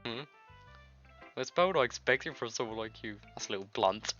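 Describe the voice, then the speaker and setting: A man's voice: mid-range voice, expressive, fairly quick, a bit bright, highs cut off. A man reading visual novel game dialogue aloud as a let's-play host, in a loose, stumbling way. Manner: loose, stumbling read-aloud with misread words. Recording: music behind the voice. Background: game music.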